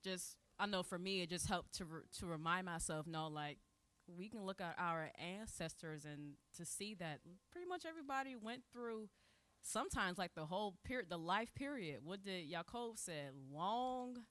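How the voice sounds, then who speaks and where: Woman, lowish voice, informal faith reflection, earnest, repeats herself for emphasis. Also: lively intonation, moderate pace, fairly bright tone, no echo. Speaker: Bible study participant.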